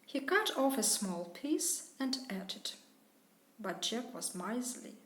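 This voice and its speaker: A woman, an English tutor, reading a children's fairy tale aloud slowly and clearly for learners. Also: fairly high pitch, very animated, fairly bright tone. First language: Russian. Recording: roomy echo.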